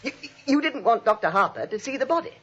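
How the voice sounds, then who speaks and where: A frantic nephew in a 1940s screwball comedy, with a hoarse, husky voice that leaps wildly up and down. He sputters and repeats himself in disbelief. The vintage soundtrack is muffled. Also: very high-pitched, big pitch swings, fast talker, a bit bright, soft background music, exaggerated voice. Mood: flabbergasted, panicked. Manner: sputtering, repeats words, incredulous. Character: frantic, flustered nephew, a theatre-going writer.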